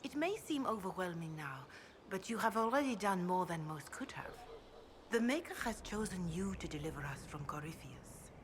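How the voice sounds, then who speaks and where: An actress voicing a devout, grave priestess in a video game, speaking in a measured, reverent way. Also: female voice, on the low side, animated, background noise, close to an everyday voice. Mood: solemn encouragement. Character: devout, grave chantry priestess, calm and counselling. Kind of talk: video game dialogue, scripture recitation.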